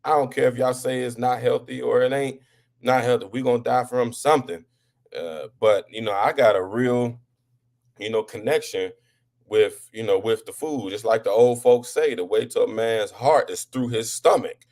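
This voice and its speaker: A man hosting a live talk show, talking casually to viewers and often repeating words and restarting, rising louder when impassioned. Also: mid-range voice, normal speed.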